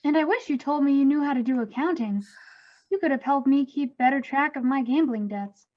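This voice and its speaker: A woman playing a candid, motherly ghost of a bakery owner in an animated comedy table read. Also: sits high, a little fast, highs cut off, natural voice. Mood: candid, regretful.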